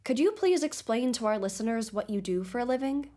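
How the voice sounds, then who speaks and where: A woman playing a composed investigative podcast host, with measured, formal delivery in a scripted audio drama documentary. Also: a little high, animated, dark tone, natural voice. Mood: inquisitive, courteous.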